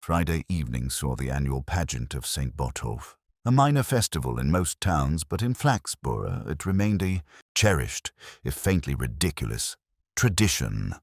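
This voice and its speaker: Very deep-voiced male mystery narrator. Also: very animated, moderate pace, no room sound, studio quality. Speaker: audiobook narrator.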